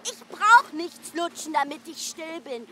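A woman's voice: very high-pitched, lively intonation, measured pace, sharp tone, exaggerated voice. A woman playing a stubborn, foul-mouthed, defiant little girl in an audio drama. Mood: defiant, sulky. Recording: noisy busy public space.

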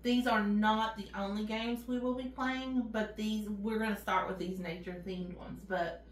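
A woman's voice: mid-range voice, fairly even intonation, moderate pace. A woman in her thirties, co-hosting a casual channel chat in a friendly, upbeat way. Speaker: co-host. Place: indoor room with game table and backdrop. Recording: echoey room.

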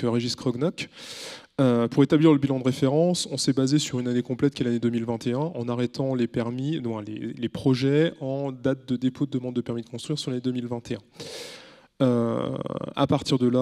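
A man's voice: mid-range voice, dark tone. Methodical man on a convention panel. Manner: methodical, technical, with frequent hesitations. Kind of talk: panel discussion presentation. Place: convention stage, large hall. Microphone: handheld mic. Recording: long hall reverb.

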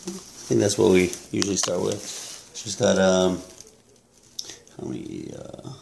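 A young man talking casually to the camera about his grocery haul, relaxed and halting, with many ums and uhs, in a small indoor room. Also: sits low, gravelly, noisy background. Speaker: fitness vlogger. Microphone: camera close up at arm's length.

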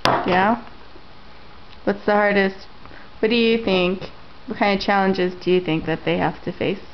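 A woman interviewing family members at home, repeating their answers back and asking gentle questions. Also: medium pitch, fairly even intonation, measured pace, a little hiss or hum. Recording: lo-fi home camera audio.

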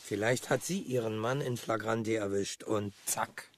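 A man's voice: on the low side, moderate pace, slight background noise, close to an everyday voice. A man playing an eager, sardonic police detective in a naturalistic radio crime drama, matter-of-fact and wry. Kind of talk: naturalistic German radio play acting.

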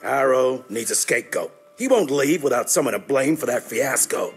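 Casting: reassuring western partner, video game, lively mid-range voice. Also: male voice, medium pitch, moderate pace, vocal fry, husky, exaggerated voice. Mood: steady, weary. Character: steady, practical male western sidekick. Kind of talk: video game cutscene acting.

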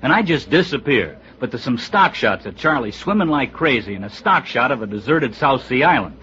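An American actor playing a brash, resentful comedy sidekick in an old-time radio drama. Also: male voice, medium pitch, sing-song, brisk, bright, forceful tone. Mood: animated. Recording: muffled old radio broadcast recording.

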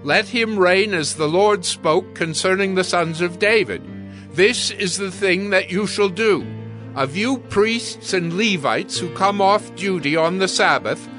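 A commanding priest of ancient times in an audio Bible drama. His high voice is forceful and declamatory, solemn and stern, with faint music underneath. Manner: declamatory, authoritative.

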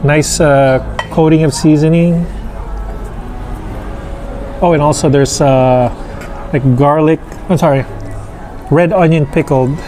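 Slow-talking man reviewing food. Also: fairly high pitch, slight background noise. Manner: slow, relaxed, halting with many fillers. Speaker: food vlogger. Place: outdoor restaurant patio. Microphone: camera on table across from him.

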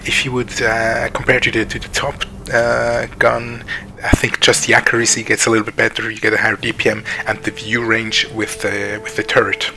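A man's voice: lowish voice, monotone, moderate pace, gravelly, pushed and bright, slight background noise. A man with a Swiss accent giving casual gameplay commentary, hesitant and full of ums and restarts. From Switzerland. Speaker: gaming channel host. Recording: game sounds over the voice. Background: tank game sounds.

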